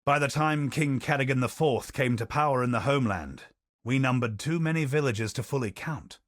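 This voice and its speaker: An actor playing a solemn male chronicler of a doomed colony, in a grave, measured manner of narrating, recorded dry. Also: mid-range voice, no echo, natural voice. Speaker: game character narrating lore. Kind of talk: scripted lore recitation.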